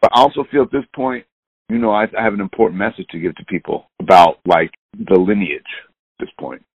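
A sixty-year-old musician with a gravelly, creaky voice speaks over a dull, lo-fi line. His talk is loose and candid, and it wanders as he goes. The sound is dry, with no room around it. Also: low voice, a little fast, no echo. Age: 60s. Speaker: musician guest. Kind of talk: casual interview chat.

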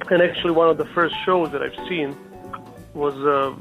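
A man, a jazz guitarist answering interview questions in a halting way, full of fillers and restarts. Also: fairly high pitch, fairly even intonation, phone-quality sound, faint music behind. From Serbia.

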